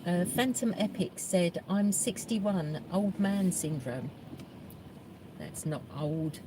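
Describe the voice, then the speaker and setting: Woman, chatty co-host, slow, heavy car noise. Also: lowish voice. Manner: chatty, laughing, lots of ums and uhs. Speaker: live stream co-host reading chat. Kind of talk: casual chat reading viewer comments. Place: in a moving car. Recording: loud road noise in car.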